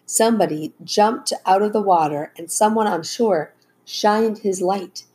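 A woman voicing an excitable boy who tells his adventure in a rushed, breathless way, in a children's storybook. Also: medium pitch, husky, voice pushed up, full character voice. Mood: excited, breathless.

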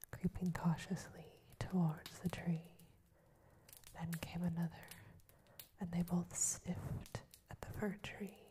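A woman reading a fairy tale aloud as an ASMR storyteller, in a soft, steady whisper. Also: on the low side.

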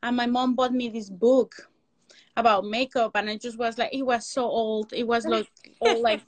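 A woman's voice: a little high, level tone, normal speed, dry recording. A woman from Mexico City with a Mexican Spanish accent and Spanish as her first language, talking casually as an interview guest, rambling with lots of fillers over a video call. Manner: rambling, frequent "like" fillers, non-native grammar, laughs often. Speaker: guest, makeup and prosthetic artist.

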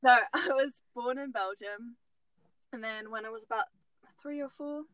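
A woman's voice: fairly high pitch, normal speed, fairly bright tone, no echo, low-quality recording. A young Dutch woman in her twenties with an English accent, chatting as a podcast guest and laughing easily. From Netherlands. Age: 20s. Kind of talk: casual chat.

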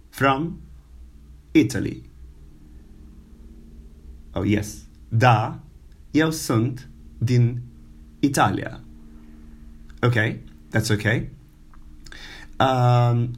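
Slow, halting non-native male teacher. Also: mid-range voice. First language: not English. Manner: slow, halting, many fillers and repetitions. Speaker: language teacher. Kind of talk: informal language lesson.

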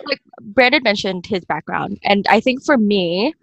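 Young woman from indonesia hosting a relaxed chat podcast, very dry close audio. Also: mid-range voice, moderate pace, creaky, dry recording, dull audio. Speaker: podcast host. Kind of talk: casual panel discussion.

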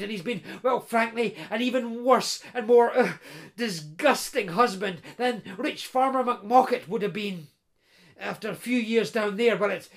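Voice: mid-range voice, close to an everyday voice. A storyteller voicing a sorrowful young ghost bride. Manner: soft, hesitant, repeating words.

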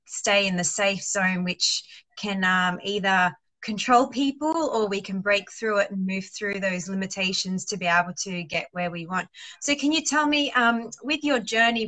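A woman's voice: mid-range voice, bright, forceful tone, rough recording. A woman hosting an interview, affirming her guest and asking questions in encouraging, motivational terms. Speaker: host, interviewer.

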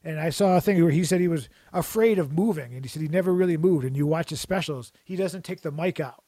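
A man with a somewhat high voice rambles through jokey stories in casual chat, often restarting his sentences. The sound is close and dry, with no room around it. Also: quick pace, dark tone, no room sound.

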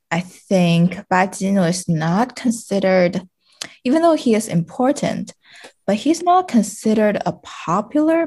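A woman's voice: on the high side, mellow. A woman speaking as a podcast guest in a casual literary discussion, feeling hesitantly for her words.

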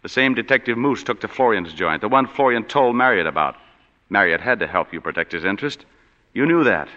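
Wry hard-boiled detective, deep voice, clipped narration, 1940s radio play, muffled reverberant broadcast. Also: male voice, expressive, brisk, sharp tone. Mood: accusing. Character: wisecracking hard-boiled male private detective.

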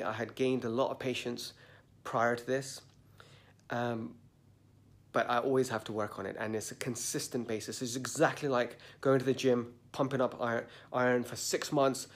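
A man with a mid-range voice and a slightly blocked nose delivers a reflective motivational monologue. He speaks earnestly and deliberately, leaning on key words. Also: medium pitch, fairly even intonation. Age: adult, a parent. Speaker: motivational podcast host. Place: sitting indoors at dawn.

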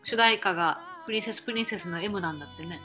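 A woman's voice: lowish voice, fast talker, pushed and bright, faint music behind. A woman co-hosting a radio-style podcast, chatting casually and reading out show announcements.

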